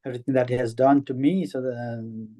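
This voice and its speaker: A man's voice: mid-range voice, fairly even intonation, brisk, dark tone. Hesitant Nepal-born man on a video call. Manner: hesitant, many ums and restarts. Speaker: networking meeting participant. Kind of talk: casual introduction on a video call. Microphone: headphones on a video call.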